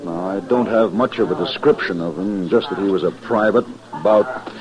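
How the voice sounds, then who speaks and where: Over a murmuring crowd on a muffled old radio recording, an actor plays an Army major. His lowish male voice is measured, formal and businesslike, in the style of a western radio play. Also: natural voice. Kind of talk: 1950s western radio drama acting.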